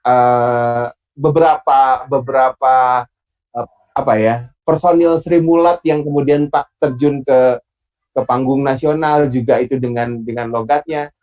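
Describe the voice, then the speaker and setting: A man with a Sundanese accent hosting an online public speaking class, relaxed and pausing often with fillers. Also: mid-range voice, muffled recording. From Bandung, Indonesia. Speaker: host and moderator.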